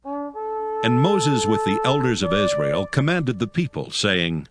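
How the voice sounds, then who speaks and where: A male narrator reads scripture from a script. His intonation swings very widely at a middle pitch, and music plays clearly underneath. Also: wildly swooping pitch, slow.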